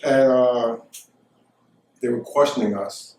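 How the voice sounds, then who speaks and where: A man's voice: mid-range voice, takes it slowly, darkish tone. Casual American male storyteller, middle-aged, recorded in an echoey room at some distance from the mic. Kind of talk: casual first-person anecdote telling.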